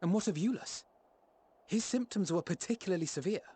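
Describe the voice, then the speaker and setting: An actor playing an earnest, worried companion in a game, with a husky voice. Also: male voice, high voice, a little fast, warm tone.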